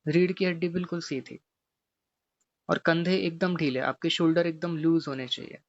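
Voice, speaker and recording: Higher-pitched, gravelly man guiding meditation. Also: steady tone, normal speed, lo-fi sound. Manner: gently repetitive step-by-step instruction. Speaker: meditation teacher. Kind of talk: guided meditation instruction.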